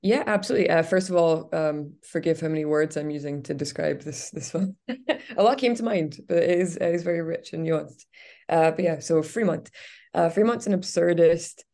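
A woman's voice: low voice, normal speed, gravelly, warm tone. A woman on a community radio show reviewing a film in casual, enthusiastic talk with many fillers. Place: radio studio.

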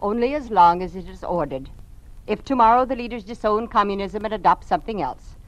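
A woman's voice: medium pitch, fairly quick, dry recording, close to an everyday voice. An actress playing a cold, doctrinaire woman informant in a 1950s radio drama. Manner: flat, clipped, dogmatic. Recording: dry, dull lo-fi old radio recording.

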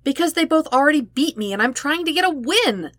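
Woman voicing a high, whiny, dramatic young guy. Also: animated, fairly quick, a bit bright, no room sound. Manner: whiny, exaggerated pleading. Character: pleading, pouting, dramatic young man at a party.

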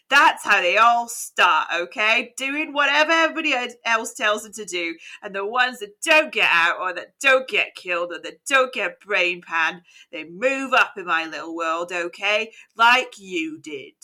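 A woman's voice: on the high side, pushed and bright, pitched below the performer's own voice, full character voice. A woman voicing a tough, smug male crime boss with a Cockney accent in a clipped, threatening monologue. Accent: put-on Cockney.

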